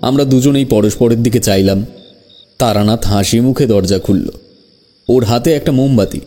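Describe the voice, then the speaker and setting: A man's voice: mid-range voice, normal speed, dark tone, faint music behind. A man narrating a scripted horror story in the first person.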